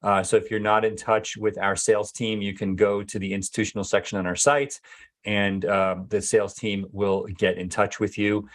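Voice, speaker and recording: A man with a lowish voice, his throat going hoarse after a long week of talking. He answers viewer questions in a loose live session, stopping to fill with "uh" and "um" and restarting his sentences. He sounds like an executive chatting with his users. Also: level tone, moderate pace. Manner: hesitant, many fillers and restarts. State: losing his voice. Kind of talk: casual live Q&A.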